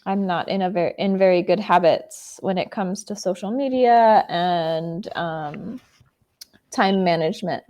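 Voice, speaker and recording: A woman's voice: on the low side, measured pace, darkish tone. A woman from the United States tutoring English in an online conversation over a video call, speaking encouragingly with frequent fillers.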